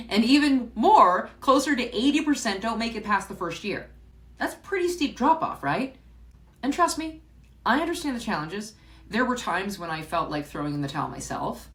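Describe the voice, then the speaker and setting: A woman hosts her own podcast and talks straight to her listeners in a loose, chatty way. Her pitch rises and falls a lot as she speaks. She repeats words, restarts her sentences and laughs softly now and then. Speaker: podcast host. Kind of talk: solo podcast reflection.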